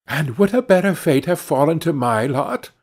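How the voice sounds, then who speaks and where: Storybook straw, male reader: plaintive yet helpful survivor, formal phrasing, husky tone, lively melody. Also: a little high, normal speed, pitched above the performer's own voice. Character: talking straw, lamenting lost brethren, hopeful and helpful.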